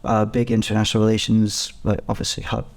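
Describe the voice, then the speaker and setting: Man in his thirties, flat even pitch. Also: fast, vocal fry. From Spain. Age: 30s. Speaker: podcast guest, gym co-founder. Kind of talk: casual interview storytelling.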